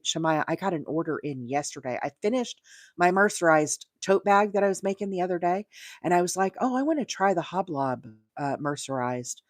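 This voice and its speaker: A woman's voice: on the low side, no echo, studio quality. A middle-aged woman hosting a casual craft livestream, chatty and thinking aloud as she talks to viewers. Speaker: livestream host and crochet demonstrator.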